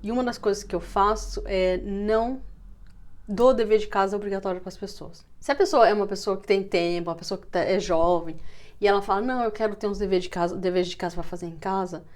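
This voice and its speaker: A woman's voice: medium pitch, fairly even intonation, a little fast, a little mellow. Brazilian woman teacher explaining conversationally. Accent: Brazilian Portuguese. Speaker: language teacher. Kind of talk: informal explanatory talk to camera.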